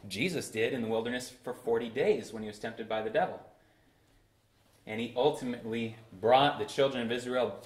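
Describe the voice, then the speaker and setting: A young man in his twenties preaching a sermon from a church pulpit, with bursts of emphasis and slow, drawn-out phrases. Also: fairly low pitch. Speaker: pastor. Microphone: far camera in a roomy church. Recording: echoey church room.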